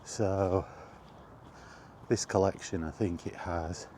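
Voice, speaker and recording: A middle-aged man giving a casual, rambling chat as he walks outdoors, in an unhurried, offhand manner. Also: low voice, fairly even intonation, warm tone. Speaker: video game vlogger. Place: outdoors, walking toward a park. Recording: outdoor walking recording with background noise.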